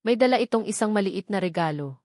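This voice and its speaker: Woman reading a love story aloud, mid pitch, close dry voice-over. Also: animated, quick pace, mellow, no echo. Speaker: narrator. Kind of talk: scripted audio-drama narration.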